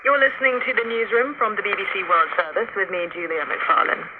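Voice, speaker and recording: A woman presenting a radio news programme in a clear, deliberate scripted delivery. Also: mid-range voice, expressive. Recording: noisy lo-fi shortwave radio reception.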